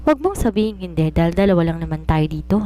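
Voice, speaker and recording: A woman's voice: fairly low pitch, lively intonation, quick pace, warm tone, slight background noise, lightly acted. A woman voicing a hot-tempered young woman writer in a story, scolding and exclaiming in exasperation. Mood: angry.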